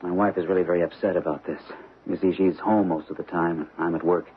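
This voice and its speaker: A man's voice: sits low, fairly even intonation, quick pace, breathy edge, phone-quality sound. An actor playing a protective, plain-spoken husband and father in an old radio drama, matter-of-fact and conversational. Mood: concerned. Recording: band-limited, noisy old radio recording.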